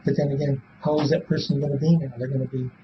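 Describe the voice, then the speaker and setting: Through a dull, clearly noisy recording, a man in his seventies answers interview questions. His voice sits at a middling pitch, and he rambles haltingly, repeating words and leaving thoughts unfinished. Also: level tone. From born in Belfast, Northern Ireland; raised in Illinois from age seven. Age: 70s. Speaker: interviewee in a police polygraph interview. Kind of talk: casual, rambling spoken answers. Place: small police interview room.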